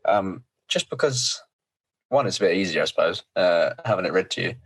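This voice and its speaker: A man hosting a podcast, a little croaky, talking enthusiastically with frequent ums. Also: deep voice, expressive, a little fast. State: slightly croaky voice. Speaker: podcast host. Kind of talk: casual podcast chat.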